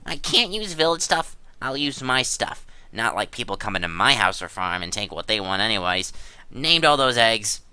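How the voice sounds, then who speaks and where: Up close and dry, a man acts out an aggrieved gamer with a bright, forceful voice. The delivery is theatrical and indignant, swinging widely in pitch as he defiantly denies everything. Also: big pitch swings, normal speed, clear, resonant tone, no room sound, full character voice. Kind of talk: dramatic reading of online chat drama.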